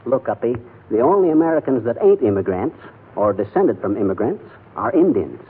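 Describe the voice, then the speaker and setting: Scheming, folksy wisecracker husband, lively swoops, 1940s radio comedy with narrow, hissy sound. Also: male voice, mid-range voice, fairly quick, slightly rough. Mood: sarcastic. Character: blustery, scheming, penny-pinching husband. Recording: tinny, faintly noisy 1940s radio recording.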